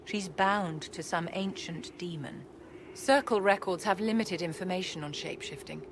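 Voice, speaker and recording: Video game role: haughty noblewoman mage played by a woman, low register, measured and formal, noisy ambient backdrop. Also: natural voice. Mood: poised, calculating. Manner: poised, haughty, condescending endearments, measured formal speech. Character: imperious, elegant aristocratic court enchantress. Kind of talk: video game cutscene acting.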